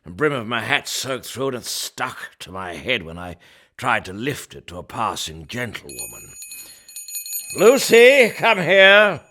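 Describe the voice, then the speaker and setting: An actor playing a blustery, philandering master of a 19th-century house in a radio drama, with a rough voice and a colloquial Australian accent. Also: male voice, mid-range voice, sing-song, a little slow, pushed and bright, a little hiss or hum. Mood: hot, blustering. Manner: colloquial, theatrical. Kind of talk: period radio drama acting.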